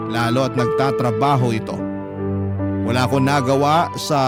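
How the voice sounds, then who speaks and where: A man with a medium-pitched voice narrates a personal letter in an emotional, scripted radio storytelling style. Loud music plays beneath him throughout. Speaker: storyteller reading a listener's letter.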